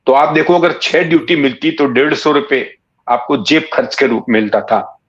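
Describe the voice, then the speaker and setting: A man's voice: mid-range voice, fairly bright tone. Man in his sixties, muffled recording. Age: 60s. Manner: unhurried storytelling with frequent hesitant pauses. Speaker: interview guest, writer and former cricket commentator. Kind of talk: reminiscing in a conversational interview. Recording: dull, muffled lo-fi audio.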